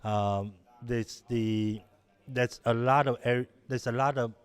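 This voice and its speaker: Halting middle-aged man from Taiwan. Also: mid-range voice, steady tone, clean tone. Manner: halting, many restarts and fillers. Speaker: interviewed innovation lab director. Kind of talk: conversational interview answers.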